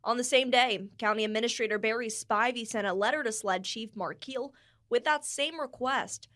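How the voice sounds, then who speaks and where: A woman's voice: a little high, normal speed, fairly bright tone. A woman narrating a scripted TV news report as a reporter.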